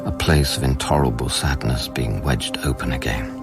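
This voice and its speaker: An actor playing a haunted, weary scientist who narrates his memories in a measured, introspective radio play style. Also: a bass voice, fairly even intonation, loud music behind the voice. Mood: bleak, dread. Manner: brooding, measured, literary.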